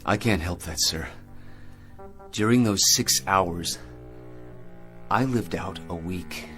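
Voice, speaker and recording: An actor playing a shaken male astronaut major in a radio drama, speaking in a halting, earnest way. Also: low-pitched, a bit bright, soft background music. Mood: hushed, shaken.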